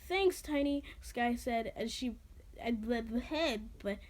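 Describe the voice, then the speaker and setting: A woman with a fairly high voice gives an amateur dramatic reading of a story. She is jokey and self-deprecating, tripping over words and dropping into casual asides. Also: slow pace. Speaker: amateur reader of own creative writing.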